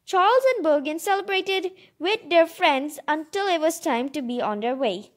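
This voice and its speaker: A woman's voice: high-pitched, moderate pace. A woman reading a picture book aloud expressively, adding informal, enthusiastic asides of her own. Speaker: storybook reader and commentator. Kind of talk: read-aloud storytelling with chatty asides.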